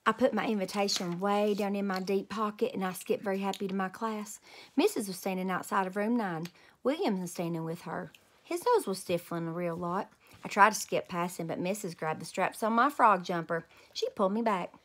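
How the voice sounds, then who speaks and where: Expressive woman reading aloud to children. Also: medium pitch, a little fast. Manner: expressive, with asides to young listeners. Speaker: children's read-aloud host, teacher. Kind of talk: animated storybook read-aloud.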